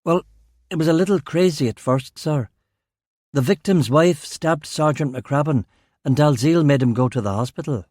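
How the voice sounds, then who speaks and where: A man's voice: fairly high pitch, moderate pace, a little mellow, dry recording, studio quality, natural voice. A man voicing a rattled police detective in an audiobook, defensive and deferential as he answers his superiors. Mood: defensive, flustered. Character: male detective narrator speaking, rattled, sweary, deferential to superiors. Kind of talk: audiobook character dialogue.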